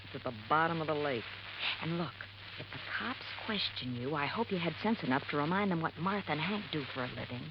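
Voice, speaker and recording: An American actress playing a scheming, cold-blooded murder conspirator, theatrical and sharp-tongued in 1950s radio drama style. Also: female voice, low-pitched, lively intonation, fast talker. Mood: scolding, conspiratorial. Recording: dull, noisy vintage radio recording.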